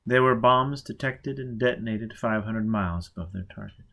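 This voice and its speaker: A man reading a novel aloud as audiobook narration, with measured, dramatic pacing and rhythmic pauses. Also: fairly low pitch, vocal fry, pushed and bright. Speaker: audiobook reader.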